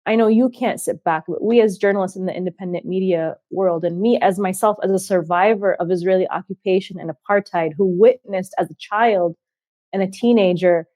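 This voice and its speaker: A woman hosting an interview, speaking in an impassioned, measured way with frequent fillers. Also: mid-range voice, dark tone, no room sound. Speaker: host. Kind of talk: interview discussion.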